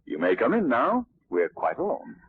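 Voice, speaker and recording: An actor playing a suave, inquisitive gentleman sleuth in a 1940s radio mystery, measured and knowing. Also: mid-range voice, huge pitch swings, breathy edge, exaggerated voice. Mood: knowing, probing. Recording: tinny, muddy 1940s radio recording.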